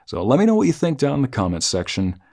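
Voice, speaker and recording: A man talking through a conversational review as a host, reflective and halting, often rephrasing his thoughts as he goes. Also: medium pitch, huge pitch swings, creaky, dark tone. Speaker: host of story review channel, narrator.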